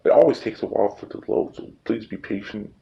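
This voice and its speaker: A man hosting a casual tutorial, speaking informally and haltingly, often repeating himself. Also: on the low side, creaky, lo-fi sound. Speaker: tutorial host. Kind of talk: casual screen-recording tutorial. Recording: noisy recording with clicks.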